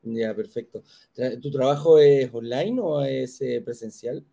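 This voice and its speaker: A man's voice: medium pitch, unhurried, creaky, warm tone. A man hosting an interview, asking friendly questions and often restarting his sentences. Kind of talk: casual interview.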